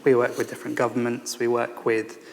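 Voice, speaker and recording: A man who founded a consultancy, introducing himself on a panel in a measured, hesitant way full of professional jargon. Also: medium pitch, monotone, creaky, a little hiss or hum. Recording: long hall reverb.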